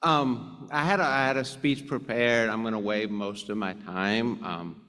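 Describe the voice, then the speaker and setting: A man, a parent and self-described slow speaker, politely giving public comment with many ums. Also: fairly low pitch, sharp tone. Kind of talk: public comment at a board meeting. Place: large meeting hall. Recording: long hall reverb.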